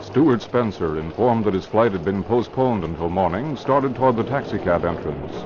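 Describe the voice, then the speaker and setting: A man's voice: on the low side, animated, normal speed, natural voice. A man narrating an old-time radio drama in a dramatic scripted style. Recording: noisy muffled vintage radio recording.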